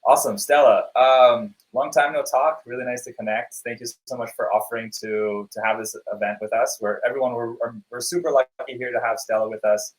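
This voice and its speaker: A man's voice: medium pitch, steady tone, brisk, few pauses, low-quality recording. A man hosting a live webinar interview, upbeat and loose, with many fillers and restarts. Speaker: webinar host.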